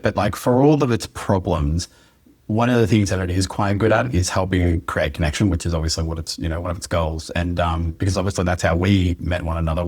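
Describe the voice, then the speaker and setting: Male podcast host, deep husky tone, loose conversational chatter. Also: darkish tone. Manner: chatty, meandering, laughs easily. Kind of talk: casual podcast chat.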